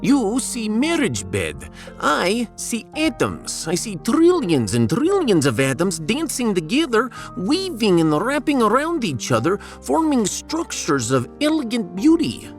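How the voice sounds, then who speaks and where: A man's voice: high-pitched, wildly swooping pitch, unhurried, soft background music, exaggerated voice. A man playing a fretful, self-doubting, dreamy scientist with a Russian accent in a theatrical sci-fi audio drama. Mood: dreamy, awed.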